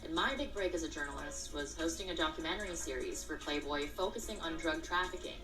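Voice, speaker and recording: A woman journalist narrates a scripted news segment in a measured, polished voice. She sits a little back from the mic, her voice lowish, with faint music running underneath. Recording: distant clip with music underneath.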